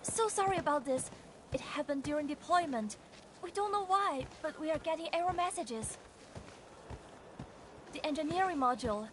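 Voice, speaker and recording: A woman's voice: high voice, expressive, normal speed, long pauses, fairly bright tone. An actress playing an apologetic, worried young female technician in a video game. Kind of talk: scripted video game dialogue. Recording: clear background ambient noise.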